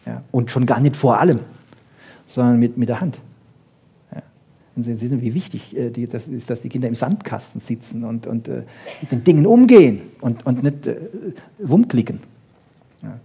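A man's voice: medium pitch, lively intonation, moderate pace, vocal fry, long pauses, warm tone, husky. A man giving an informal lecture, explaining in an emphatic, didactic way and often checking in with short questions. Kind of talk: informal public lecture on research.

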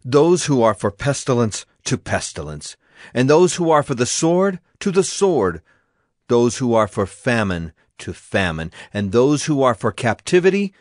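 A man playing a sorrowful, earnest prophet in a dramatized scripture reading, solemn and declamatory. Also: fairly low pitch. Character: Jeremiah, sorrowful, earnest male Hebrew prophet. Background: music bed.

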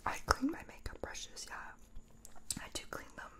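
A woman in her twenties in a whispered ASMR roleplay as a makeup artist, breathy and nonchalant, rambling in halting bits close to the mic. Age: 20s. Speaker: ASMR performer role-playing a makeup artist. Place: small room at home, indoors. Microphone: close mic, facing camera.

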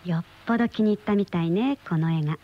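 An actress playing a sharp-eyed, teasing woman who needles her partner in a radio drama. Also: on the low side, fast talker, lightly acted. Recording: dull lo-fi old radio recording.

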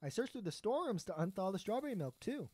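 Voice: medium pitch, sing-song, fast, a little mellow, breathy edge. An actor playing an earnest, hesitant astronaut trying to win back an old friend in an audio drama. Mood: friendly, nostalgic.

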